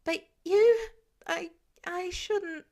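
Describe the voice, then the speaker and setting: A woman's voice: very high voice, extremely slow, a little mellow, voice pushed up, full character voice. A woman voicing a frightened, defensive teenage boy who stammers and snaps with bitter sarcasm. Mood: panicked. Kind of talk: audiobook fan reading.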